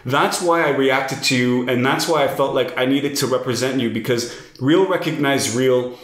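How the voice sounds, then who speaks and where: A man's voice: medium pitch, fairly bright tone. Young male host from Lebanon, casual and enthusiastic, echoey room sound. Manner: enthusiastic, uses "bro", quick bursts. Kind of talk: casual interview.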